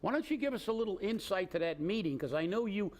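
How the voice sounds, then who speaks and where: Hurried, indignant male co-host. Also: sits high, animated, darkish tone. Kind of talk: local political commentary.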